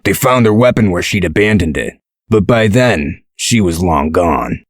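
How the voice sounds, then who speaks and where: A man playing a gruff, protective male character in a comic dub, speaking in a measured, dry, explanatory way. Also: low voice, lively intonation, no room sound. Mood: calm, explanatory.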